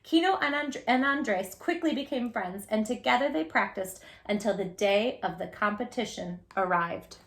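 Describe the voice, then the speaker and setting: A woman hosting a children's read-aloud, expressive and encouraging, emphasising words and chatting directly to young listeners. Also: on the high side, normal speed, hardly any pauses. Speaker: storybook reader, channel host.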